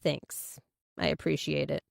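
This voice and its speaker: A woman's voice: low-pitched, level tone, unhurried, vocal fry, dry recording, studio quality, exaggerated voice. A woman voicing a bashful, awkward male police detective in hesitant, sheepish audiobook dialogue. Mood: sheepish, earnest.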